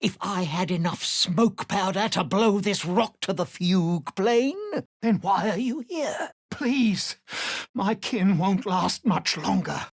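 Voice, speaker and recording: A tough, desperate woman miner from a video game. She is gruff and urgent, her pitch swinging widely over a measured pace. The recording is close and dry. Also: lively intonation, no room sound. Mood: pleading. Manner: urgent, gruff, emotionally intense. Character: desperate, tough female miner held captive underground. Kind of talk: scripted game character lines.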